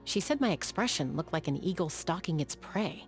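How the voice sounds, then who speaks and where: Game role: battle-hardened young female sky gunslinger, played by a woman. Lower-than-average pitch, calm, matter-of-fact delivery over background music. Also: moderate pace, lightly acted. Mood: curious. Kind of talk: video game character voice lines.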